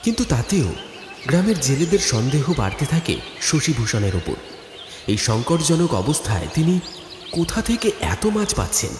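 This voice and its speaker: A man's voice: a little high, big pitch swings, moderate pace, background noise. A man narrating a horror cartoon story in a dramatic, scripted storytelling style. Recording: echoey studio reverb, music behind. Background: music.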